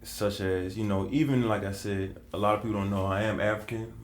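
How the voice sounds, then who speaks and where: A man's voice: on the low side, steady tone, fairly quick, echoey room, a little hiss or hum. A man co-hosting a podcast, talking in an easygoing way in loose, run-on phrases. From United States. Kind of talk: casual conversation.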